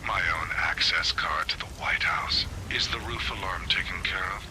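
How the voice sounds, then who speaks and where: An actor playing a sly conspirator in a video game, arch and mock-sweet. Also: male voice, sits low, moderate pace, noisy background, close to an everyday voice. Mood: sly, amused. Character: sly male conspirator talking in mocking code. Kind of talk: video game cutscene acting.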